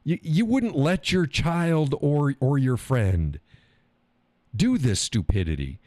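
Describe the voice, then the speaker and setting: A man's voice: fairly high pitch, very animated, slow pace, darkish tone, dry recording. A man hosting a live stream show, talking bluntly and profanely. He hammers points by repeating phrases and reads out viewer chat comments.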